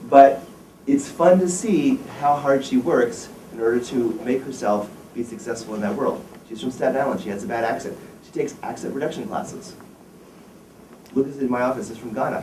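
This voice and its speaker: A man's voice: medium pitch, darkish tone, far from the mic. Blunt lecturing man in an echoey room. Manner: blunt, opinionated, asks the audience questions. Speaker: wealth management firm president giving a career talk. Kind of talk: lecture to students. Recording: echoey room, recorded from afar, faint noise.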